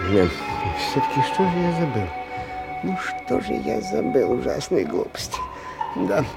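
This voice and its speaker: An actor playing an eccentric old schoolteacher-inventor in a radio play, agitated and dreamy, muttering haltingly and repeating himself between exclamations. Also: male voice, medium pitch, big pitch swings, vocal fry, loud music behind the voice. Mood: nervous muttering. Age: older. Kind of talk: theatrical radio play acting.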